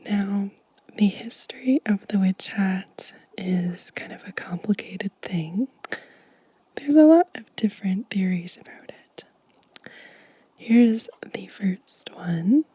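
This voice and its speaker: A woman softly whispering as an ASMR host, gentle and unhurried, with a breathy voice close to the microphone. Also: mid-range voice, no echo. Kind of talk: soft-spoken whispered ASMR show and tell.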